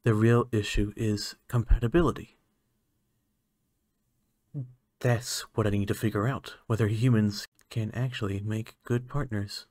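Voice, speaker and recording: A man's voice: fairly low pitch, steady tone, normal speed, hardly any pauses, a little mellow, dry recording, studio quality, lightly acted. A man playing a lonely, earnest alien scientist in an ASMR roleplay monologue, talking in a hesitant, musing way. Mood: self-critical, resolved.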